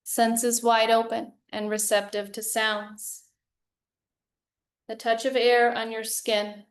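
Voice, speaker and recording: A woman guides a relaxation meditation in a fairly high voice. Her delivery is very slow and level, with little rise or fall. She gives calm, soothing instructions and leaves long pauses between phrases. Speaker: yoga nidra meditation teacher.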